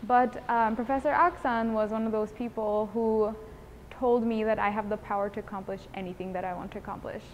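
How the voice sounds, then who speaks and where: A woman's voice: medium pitch, steady tone, a little fast, muffled recording, slight background noise. A woman of about twenty from Bangladesh, speaks earnestly and articulately as a student giving an interview testimonial. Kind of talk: interview testimonial, semi-prepared talk. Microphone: lapel mic.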